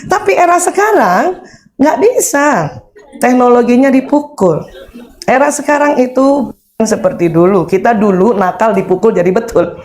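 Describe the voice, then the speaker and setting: A woman in her fifties giving a lively, humorous parenting seminar, chatting with her audience and quizzing them with questions. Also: a little high, unhurried. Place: seminar hall before an audience.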